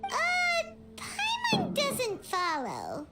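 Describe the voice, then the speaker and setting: A woman voicing a small, cheeky, chatty fairy companion in a video game, with a squeaky childlike voice. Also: wildly swooping pitch, very slow, over loud music, heavily acted. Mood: puzzled. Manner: refers to self in third person, exclamatory. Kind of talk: video game character acting.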